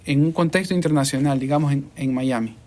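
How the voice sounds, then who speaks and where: A man with a coastal Ecuadorian accent hosts a casual chat, asking questions in a relaxed, conversational way. His voice sits at an ordinary middle pitch. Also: brisk. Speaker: podcast host and voice-over artist.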